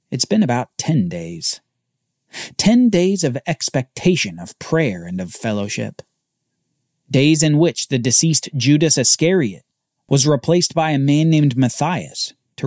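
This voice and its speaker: A man hosting a podcast, telling a Bible story as scripted narration with dramatic pauses. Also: mid-range voice, warm tone, no echo, studio quality.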